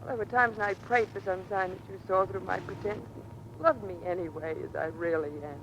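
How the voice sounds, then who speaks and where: A woman acting an emotional, halting skipper's wife in a vintage radio drama. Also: lightly acted. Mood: hurt, pleading. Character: troubled, self-sacrificing wife of a naval skipper. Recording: noisy vintage radio, engine rumble behind.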